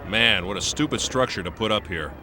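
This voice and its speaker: A man voicing a gruff, cocky squad veteran in an English anime game dub, talking bluntly in casual slang. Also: on the low side, animated, brisk, background noise, lightly acted. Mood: blunt, confident.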